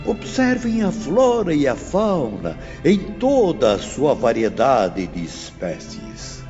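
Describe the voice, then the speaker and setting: A man's voice: medium pitch, huge pitch swings, takes it slowly, a little mellow. An actor playing a solemn, awestruck male spirit teacher with a grand, declamatory manner in an audiobook. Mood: reverent. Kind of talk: dramatized audiobook lecture. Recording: muffled, long hall reverb, faint music.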